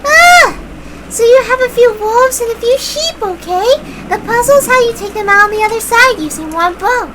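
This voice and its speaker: A woman voicing an excitable, eager little girl with squealing exclamations, in a visual novel read-aloud. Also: very high-pitched, moderate pace, pitched above the performer's own voice. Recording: loud noise behind the voice.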